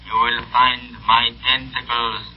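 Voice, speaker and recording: Menacing male mastermind, 1930s radio melodrama. Very drawn-out, portentous delivery, radio filter, muffled vintage sound. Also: medium pitch, level tone. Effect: shortwave radio voice, as if through a cone. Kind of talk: 1930s radio serial acting. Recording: dull, lo-fi 1930s radio recording.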